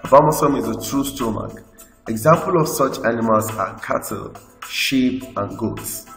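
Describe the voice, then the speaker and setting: A man with a Nigerian English accent, teaching a school lesson by slowly reading out lists from slides. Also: medium pitch, a bit bright. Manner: slow, list-like reading with explanations. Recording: echoey room with long reverb, faint music.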